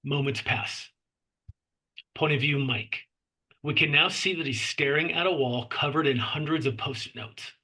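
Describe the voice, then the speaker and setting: A man narrates the action lines of a screenplay. His voice is slightly high and bright, with a clearly husky grain, as he reads scripted scene directions. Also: measured pace, rough recording. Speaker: narrator reading stage directions.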